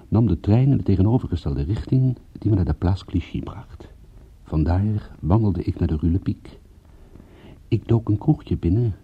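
A man playing a cynical private detective who narrates his case in a deadpan, sardonic way, as in a radio play. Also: low-pitched, slightly rough, full character voice. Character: world-weary, cynical male private detective recounting a case. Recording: muffled old radio-play recording.

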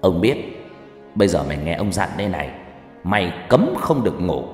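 A man's voice: sits low, sing-song, brisk, darkish tone, faint music behind. A man voicing an angry old village sorcerer who scolds and commands bluntly in a dramatized horror story. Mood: stern, urging. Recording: echoey hall reverb.

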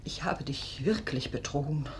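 A woman's voice: a bass voice, moderate pace. A woman playing an anxious, God-fearing wife in a German radio play, earnest and hesitant with guilt. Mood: guilty confession. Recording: faint background noise.

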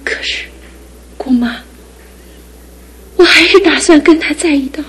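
A woman's voice: high-pitched, unhurried, fairly bright tone. An actress playing a young woman facing her fiancé's lasting injury, earnest and tearful, in a radio drama. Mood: resolved. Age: young adult.

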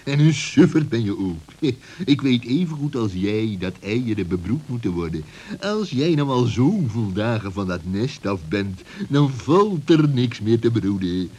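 A sly, greedy fox from a children's radio play , acted by a man. The voice is husky and swoops up and down as it threatens and mocks. The delivery is slow and savouring. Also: medium pitch, big pitch swings, dark tone. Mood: gloating, threatening. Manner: menacing, mocking, swooping delivery with chuckles. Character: sly, greedy, bullying male fox villain.

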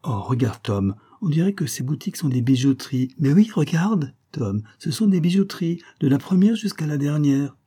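Man doing a high, plaintive nagging wife. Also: animated, normal speed, few pauses, mellow, husky. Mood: excited. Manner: plaintive, exclamatory. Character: nagging, stubborn American tourist wife.

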